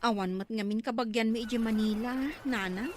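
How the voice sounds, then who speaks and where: A woman's voice: fairly high pitch, steady tone, a little fast, noisy background, close to an everyday voice. A woman acting an eager young graduate in an Ilocano drama, speaking with an Ilocano accent. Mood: polite, hesitant. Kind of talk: amateur Ilocano radio-style drama acting.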